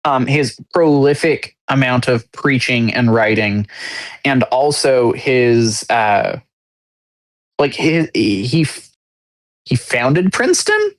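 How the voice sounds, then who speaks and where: A man's voice: medium pitch, very animated. A man co-hosting a casual podcast conversation, working out his argument aloud with many restarts. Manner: halting, searching for words with many restarts. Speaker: podcast co-host.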